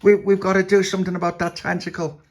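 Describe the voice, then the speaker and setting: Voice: mid-range voice, little pitch movement, fast, pitched above the performer's own voice, natural voice. A performer voicing a hapless, reluctant sailor who grumbles and worries in a children's story. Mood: worried.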